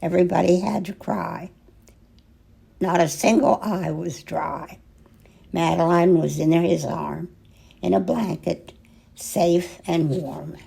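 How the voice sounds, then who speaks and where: Older female storyteller, very creaky, mellow tone, expressive children's read-aloud with dramatic pauses. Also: lowish voice. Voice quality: husky, gravelly. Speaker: storyteller reading a picture book aloud.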